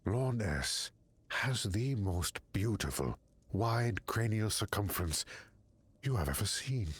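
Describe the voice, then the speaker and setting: An earnest, devoted alien from an animated game. The voice is very low and husky, sincere in every line. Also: male voice, slow, exaggerated voice. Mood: adoring. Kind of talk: animated video game dialogue.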